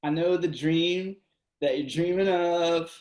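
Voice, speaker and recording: A man's voice: a little high, slow, darkish tone. A man reading the part of a drunk, reckless man in his twenties in a play, loose, crude and slangy, on a video call. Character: drunk, reckless twenty-six-year-old party guy. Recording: echoey room on video call.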